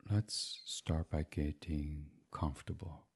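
A man leading a gentle guided sleep meditation in a soothing, hushed, breathy voice that drifts toward whispering. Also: very deep voice, fairly even intonation, slow.

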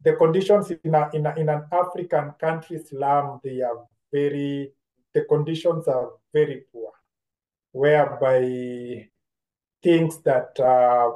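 Kenyan man, mellow voice in echoey room. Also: on the high side, fairly even intonation, measured pace. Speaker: interview guest, educator. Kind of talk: reflective conversational storytelling. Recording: roomy, echoing sound.

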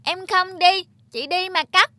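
A woman's voice: extremely high pitch, steady tone, unhurried, bright, forceful tone, full character voice. A woman playing a stubborn young country girl with a childlike manner in a cartoon folk tale. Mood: whiny, defiant. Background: prominent background music.

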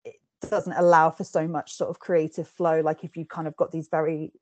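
A woman's voice: deep voice, flat delivery, fairly quick, no room sound. Giggly chatty woman host, dry sound. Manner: chatty, giggly, many fillers and restarts. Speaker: podcast host interviewing an author. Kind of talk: casual interview chat.

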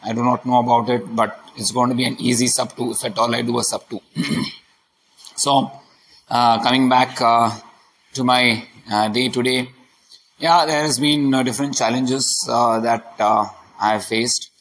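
A man, his voice hoarse and gravelly from a sore throat, records a diary-style podcast at home. He speaks slowly and carefully at an ordinary pitch, stopping often on fillers. Faint room ambience sits behind him. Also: fairly even intonation, fairly bright tone, slight background noise, rough recording. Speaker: podcast host. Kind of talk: personal daily diary podcast. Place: new rented apartment, indoors.

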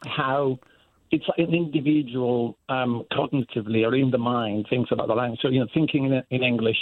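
A man calling in to a radio show, explaining his point in a measured, slightly halting way. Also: medium pitch, steady tone, dry recording. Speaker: caller. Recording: phone line.

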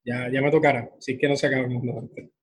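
A young man with a husky voice, chatting casually and effusively as he interviews someone. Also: medium pitch, level tone, fast, low-quality recording.

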